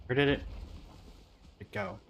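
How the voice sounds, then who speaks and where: A man doing casual livestream game commentary, talking in short remarks to himself and to the characters on his screen. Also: a little slow.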